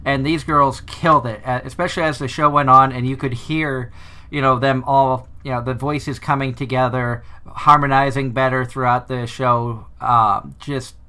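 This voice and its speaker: Brief: man, Boston accent, host, bright forceful voice, loose chatty delivery. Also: medium pitch, fairly even intonation, moderate pace, clean tone. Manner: chatty, rambling with restarts. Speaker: podcast host. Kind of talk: casual chat.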